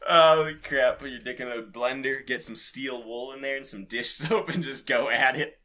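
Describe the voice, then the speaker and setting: A man's voice: mid-range voice, moderate pace, bright, forceful tone. A man co-hosting a podcast with crude, sweary comic banter, often laughing as he talks. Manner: laughs often while talking, sweary and irreverent. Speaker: podcast co-host.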